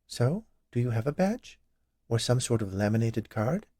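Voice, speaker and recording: A man's voice: mid-range voice, sing-song, moderate pace, no room sound, clean studio sound. A man voicing a cheerful, chatty large woman who runs a candy shop in a storybook tale. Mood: skeptical. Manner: bright, chatty, eager.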